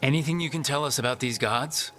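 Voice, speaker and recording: An actor playing a resolute young male adventurer who leads a team in a video game, calm and direct. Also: medium pitch, a little fast, slight background noise, lightly acted. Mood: frank, steady. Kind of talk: video game dialogue acting.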